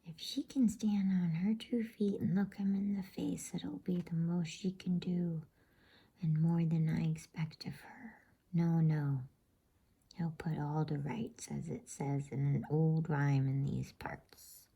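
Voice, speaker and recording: Storybook beaver, male, earnest and folksy, played by a woman: breathy whisper, creaky edge, measured delivery. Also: low voice, fairly even intonation, dark tone, heavily acted. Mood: reverent. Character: kindly, emphatic male talking beaver, reverent and folksy. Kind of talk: whispered bedtime storybook reading.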